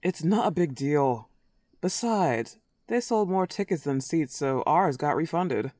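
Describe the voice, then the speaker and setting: A woman's voice: deep voice, expressive, a little mellow, no room sound, clean studio sound. A woman playing a smug, clingy, lovesick werewolf in a comedic roleplay audio drama, taunting and cooing. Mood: defensive. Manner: taunting, drawn-out teasing and cooing.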